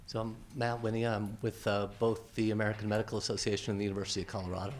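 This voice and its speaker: A man's voice: on the low side, little pitch movement, rapid-fire, a little hiss or hum. A man asking a panel a question from the floor, thoughtful and hesitant, with frequent fillers. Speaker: audience member asking a question. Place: conference hall.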